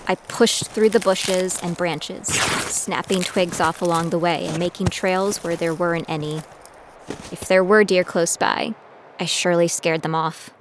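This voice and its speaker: A woman's voice: fairly low pitch, fairly even intonation, a little slow, background noise, close to an everyday voice. An actress playing a determined young female bounty hunter in training, narrating her own story in an audio drama. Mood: tense, reflective. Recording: loud music bed behind voice.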